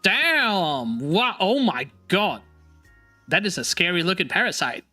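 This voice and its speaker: A man hosting a casual chat stream, laughing often and talking in an animated, teasing way; English is not his first language. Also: sits high, unhurried, faint music behind. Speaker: streamer host.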